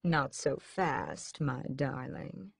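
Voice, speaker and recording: A woman's voice: extremely low pitch, expressive, drawn-out words, gravelly, slightly rough, no echo, studio quality, pitched below the performer's own voice, heavily acted. A woman voicing a suave, sinister male vampire captor who drawls with languid, mocking menace in an audiobook.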